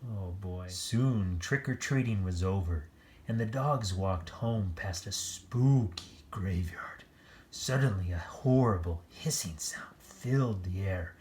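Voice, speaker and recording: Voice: low-pitched, takes it slowly, a little mellow, a little hiss or hum. A read-aloud storyteller reading a children's picture book expressively, with playful, chatty asides.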